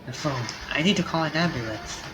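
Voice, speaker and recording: A man's voice: fairly high pitch, fairly quick, close to an everyday voice, poor audio. A man reading as a shaken young man. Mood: panicked. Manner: stammering, panicky, then quietly resolved. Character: frightened young man, friend has collapsed. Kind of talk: reading a visual novel aloud. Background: game music.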